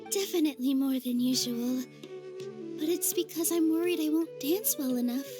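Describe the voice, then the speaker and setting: A woman's voice: high voice, normal speed, music in the background, lightly acted. A woman playing a sweet, earnest young dancer in a video game cutscene. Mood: grateful.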